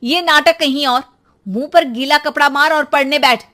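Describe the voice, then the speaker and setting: Woman acting a shrill, high-pitched scolding cartoon mom. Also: moderate pace, bright, forceful tone. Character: exasperated, scolding young mother, quick-tempered and sarcastic. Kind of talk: Hindi cartoon story dialogue.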